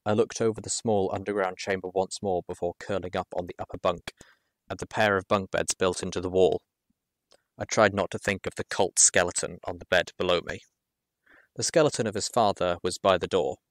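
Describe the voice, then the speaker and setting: A man reading a first-person adventure story aloud in a measured, expressive storytelling manner. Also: low-pitched, no room sound, studio quality. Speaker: audiobook reader.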